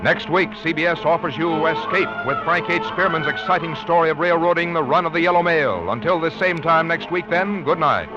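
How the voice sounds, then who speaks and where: A man's voice: a little high, fairly quick, hardly any pauses. A man announcing scripted closing credits in formal 1940s radio style. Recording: muffled 1940s radio broadcast recording. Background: orchestral music.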